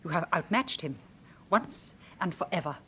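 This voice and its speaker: A woman's voice: on the low side, expressive, normal speed, pushed and bright, slightly rough, heavily acted. An actress playing a villain's devoted, resolute female accomplice in a radio drama, speaking formally and earnestly. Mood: resolute devotion.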